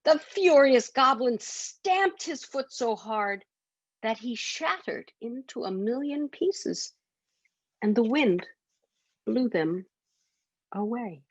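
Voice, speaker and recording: A woman's voice: a little high, lively intonation, slow, pauses often, no echo. A woman reading a children's bedtime story expressively, as a storyteller.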